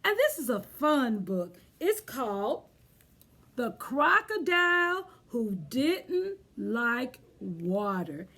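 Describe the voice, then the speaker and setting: Female children's storyteller, high voice, unhurried pace, expressive, speaking directly to little kids. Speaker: children's librarian leading storytime. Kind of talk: children's storytime read-aloud and chat.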